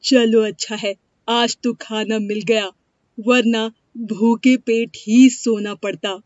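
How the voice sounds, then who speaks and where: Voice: mid-range voice, flat delivery, slow, a little mellow, dry recording, lightly acted. A performer voicing a poor, humble cobbler boy in a story, polite and plaintive. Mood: forlorn. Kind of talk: animated moral-story dialogue. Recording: dry, dull lo-fi studio sound.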